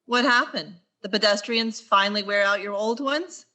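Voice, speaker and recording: A woman playing a wry, quick-witted schoolteacher in an old-time radio sitcom style, with dry sarcastic delivery. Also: mid-range voice, sharp tone, natural voice.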